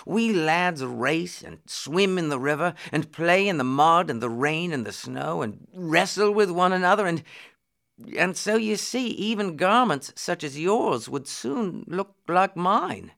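Storybook poor lad, acted by a man: high-pitched, deferential, earnest, very lively intonation. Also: a little slow, vocal fry, full character voice. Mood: eager, earnest. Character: poor ragged boy, humble, eager, deferential. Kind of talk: storybook character reading.

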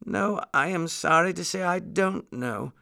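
Man putting on a high, fussy muskrat lady. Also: measured pace, creaky, bright, forceful tone, smooth, exaggerated voice. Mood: polite, apologetic. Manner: plaintive, fussy.

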